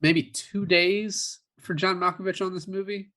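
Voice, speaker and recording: A man's voice: high voice, steady tone, measured pace, pushed and bright. A man co-hosting a movie review podcast, chatting casually about films. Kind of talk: casual film discussion.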